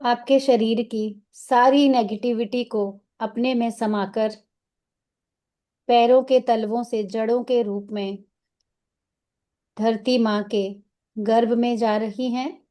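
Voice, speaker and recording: Female meditation guide, Indian accent, soft-edged mellow tone, very slow delivery in short soothing phrases. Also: fairly high pitch, fairly even intonation. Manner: soothing, unhurried, instructing in short phrases with pauses.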